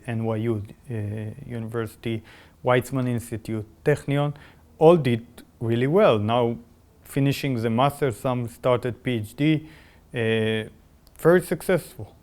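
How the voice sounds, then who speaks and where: A man's voice: medium pitch, a little slow, darkish tone. A man with an Israeli accent speaking as a professor in a conversational podcast, enthusiastic, with non-native English phrasing. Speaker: biotechnology professor.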